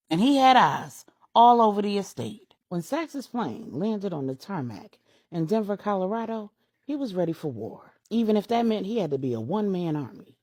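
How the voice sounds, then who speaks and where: A woman's voice: mid-range voice, a little mellow, no echo, clean studio sound. A woman narrating a scripted story as a storyteller, with a dramatic, emphatic delivery.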